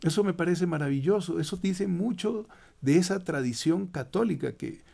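An older man with a gravelly, mellow voice talks as a priest on a studio radio show. He explains patiently and turns to the listeners with rhetorical asides. The sound is close and clean from a studio mic. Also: high-pitched, animated, moderate pace. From Ecuador. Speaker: Jesuit priest guest. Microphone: studio microphone with headphones.

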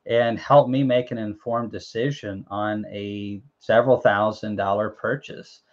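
A man's voice: on the low side, steady tone, a little slow. Young man hosting a lo-fi video call. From Tennessee, USA. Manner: rambling, joking, many fillers and restarts. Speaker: host. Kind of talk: casual live chat. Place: small home room, indoors. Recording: dull lo-fi stream audio.

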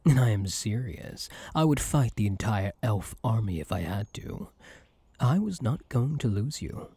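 A man playing a possessive yet tender elf hunter in an intimate ASMR roleplay, speaking in a coaxing, soothing and intense way with a husky voice. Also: on the low side, sing-song, measured pace, warm tone, no echo, full character voice. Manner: coaxing, soothing, intense, often dropping to a whisper.

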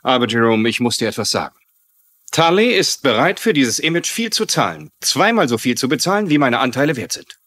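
A man's voice: mid-range voice, sing-song, brisk, fairly bright tone. A man playing a cynical, scornful younger business partner, sarcastic and dismissive, in a dubbed TV drama. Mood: scornful, defiant.

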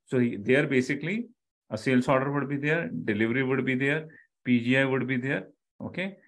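Man, middle-aged, Indian accent, medium pitch, online instructor, explanatory, repetitive emphasis. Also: fairly quick, fairly bright tone. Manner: explanatory, repeats key phrases, checks with "okay" and "right". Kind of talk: live online lecture.

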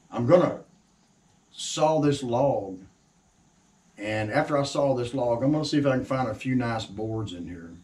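A man in his sixties chatting to camera in a halting, folksy, reflective way, recorded in an open-sided shed outdoors. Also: mid-range voice. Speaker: woodworker and sawmill owner hosting. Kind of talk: casual instructional chat to camera. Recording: distant mic with faint outdoor noise.

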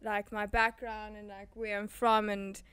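A woman's voice: medium pitch, level tone, measured pace, fairly bright tone, clean tone. A young woman from South Africa, a guest in a casual sit-down interview, talking easily with many "um"s and "like"s into a handheld mic. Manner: chatty, thinks aloud with many "um"s and "like"s. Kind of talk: casual conversation. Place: small indoor room with curtains, seated in armchairs. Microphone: handheld mic passed between speakers.

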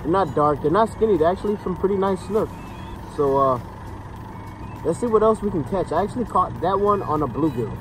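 A young man giving casual, slangy fishing commentary outdoors, excitedly addressing viewers and buddies. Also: sits high, fairly quick. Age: young adult. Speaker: fishing vlogger. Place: outdoors by canals and urban waterways. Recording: noisy outdoor recording with ambient noise.

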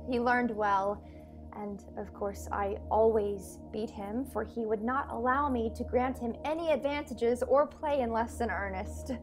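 A young woman plays a devoted female starship attendant in a science-fiction radio drama. Her voice sits a little high, with faint music behind it. She speaks in a measured, formal, composed way. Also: close to an everyday voice. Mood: wistful. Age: young adult. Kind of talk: radio play acting and narration. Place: bedroom, over a video call.

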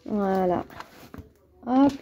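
A woman's voice: medium pitch, extremely slow, dull audio, a little hiss or hum. A woman with a cold, chatting casually and hesitantly to camera at home while unboxing a craft kit, with many fillers and restarts. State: has a cold. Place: at home, at a table.

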